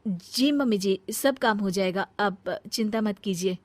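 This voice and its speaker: A woman's voice: medium pitch, unhurried, a little mellow, dry recording, lightly acted. A woman voicing a dutiful elder daughter-in-law in a cartoon family story, earnest and easily exasperated. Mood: obedient. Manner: earnest, scolding when crossed.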